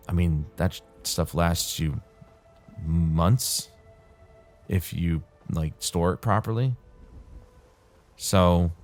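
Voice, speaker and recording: Male gaming host, very deep, dry-throated and soothing, loose rambling commentary, light music bed. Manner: calm, soothing, rambling delivery with laughs. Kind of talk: casual rambling commentary over gameplay.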